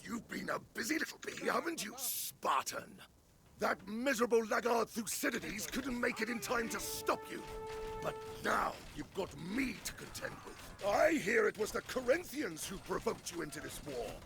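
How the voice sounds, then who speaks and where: A man's voice: high voice, sing-song, normal speed, vocal fry, over music, exaggerated voice. An actor playing a combative enemy leader who mocks and needles his foe in a game cutscene. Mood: mocking. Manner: mocking, needling. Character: taunting, sneering male enemy leader, combative and mocking. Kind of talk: dramatic video game cutscene acting.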